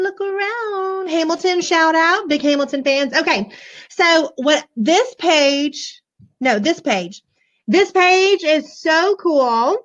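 A bubbly woman in her forties talks in a hoarse, high voice while chatting with her live viewers about a children's book. The webcam audio is dull and lo-fi. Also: a bit bright. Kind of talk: casual live book show-and-tell. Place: small room indoors, decorated backdrop. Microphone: webcam at desk.

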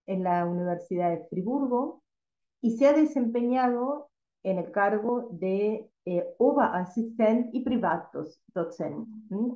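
A woman hosting a seminar, steadily reading a formal introduction of the speaker over a video call. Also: mid-range voice. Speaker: host. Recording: roomy, dull video call audio.

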